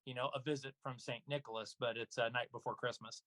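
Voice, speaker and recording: Male, medium pitch, interview guest, actor and narrator, casual conversational delivery. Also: fairly even intonation, fast talker, pushed and bright. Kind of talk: casual interview answers about own work.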